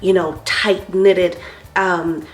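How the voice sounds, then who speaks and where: A woman from the Caribbean talking casually as a guest author on a children's show, animated and playful. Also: lowish voice, extremely slow, reverberant, poor audio. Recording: music playing behind the voice. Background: background instrumental music.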